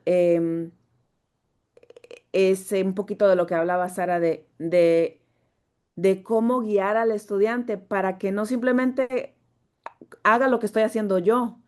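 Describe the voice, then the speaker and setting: A woman's voice: mid-range voice, darkish tone. A woman who teaches voice, speaking thoughtfully on a video call, searching for her words and restarting often.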